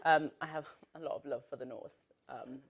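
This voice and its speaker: Low-voiced chatty woman from northern England. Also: fairly even intonation, moderate pace, slight background noise. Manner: chatty and self-deprecating, laughs through asides. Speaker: panel guest, writer. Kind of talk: live panel talk. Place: stage at a live podcast event.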